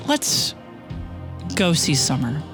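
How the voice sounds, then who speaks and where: A game master plays a self-possessed woman agent in a tabletop audio drama, polite but wary. The voice is casual and matter-of-fact at a middle pitch, with clear music underneath. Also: very animated, slow pace. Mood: guarded.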